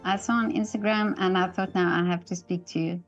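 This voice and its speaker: A woman's voice: mid-range voice, steady tone, fairly quick, dull audio, soft background music. A woman hosting an interview over a video call, enthusiastic and encouraging toward her guest. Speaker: interview host.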